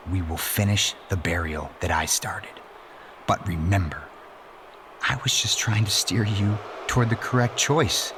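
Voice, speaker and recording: An actor playing a menacing, self-righteous messianic wrestling villain in a video game, delivering a deliberate, pompous monologue that persuades and threatens. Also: male voice, lowish voice, noisy background. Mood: cold menace. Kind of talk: dramatic scripted monologue.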